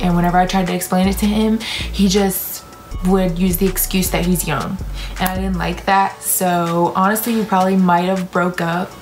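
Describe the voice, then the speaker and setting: A woman in her twenties, a young mother, chatting candidly and teasingly in a casual couple Q&A. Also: lowish voice. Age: 20s. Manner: candid, teasing, says "like" a lot.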